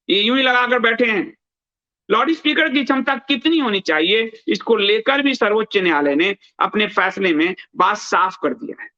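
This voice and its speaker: A man's voice: sits high, pushed and bright. A man hosting news commentary, reading aloud and adding emphatic remarks, repeating phrases for stress, in a dull lo-fi recording. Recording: dull, lo-fi band-limited sound.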